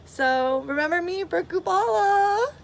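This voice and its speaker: A woman's voice: extremely high pitch, expressive, a little slow, creaky, slight background noise. A bubbly 22-year-old woman, chatting eagerly to camera in a casual audition video. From Massachusetts, USA. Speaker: reality show applicant.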